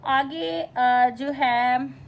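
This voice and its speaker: A woman teacher giving an informal lecture, explaining and reading out definitions in a halting, repetitive way with frequent check-in tags. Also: sits high, steady tone, creaky, muffled recording, a little hiss or hum.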